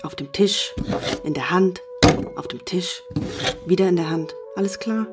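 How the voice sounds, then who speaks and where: An actress playing an agitated, defiant writer in a German radio drama, speaking with halting, emphatic insistence. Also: female voice, on the low side, lightly acted. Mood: tense, taunting. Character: agitated, defiant woman writer lecturing intensely on mathematics. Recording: music behind the voice.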